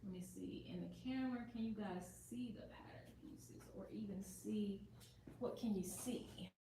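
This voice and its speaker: A woman's voice: medium pitch, normal speed. Chatty woman demonstrating sewing in an echoey room. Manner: chatty and relaxed, thinking aloud while working; moves around the room, voice nearer and farther from the mic. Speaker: sewing channel host. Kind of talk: casual sewing tutorial livestream. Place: sewing office. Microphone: added mic, camera across the room. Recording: roomy echo, faint background hiss.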